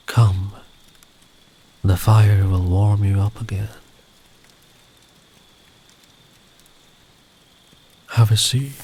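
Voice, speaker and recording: A man playing a big, kind traveller in the woods in an ASMR comfort roleplay, speaking gently and soothingly, often close to a whisper. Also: on the low side. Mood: caring. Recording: clear background ambient noise.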